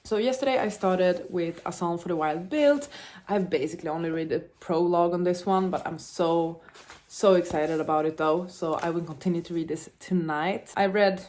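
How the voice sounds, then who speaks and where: A woman's voice: lowish voice, normal speed, a little mellow. A young woman with Czech as her native language, chatting upbeat to the camera about books, with fillers and self-corrections. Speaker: book vlogger. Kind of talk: casual vlog chat to camera.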